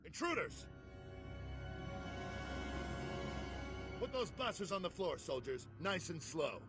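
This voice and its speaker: An actor voicing a defiant veteran soldier turned traitor in a video game, wry, blunt and self-assured, with gravel in the voice. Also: male voice, sits high, big pitch swings, moderate pace, music in the background. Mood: threatening. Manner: cocky, sardonic, blunt.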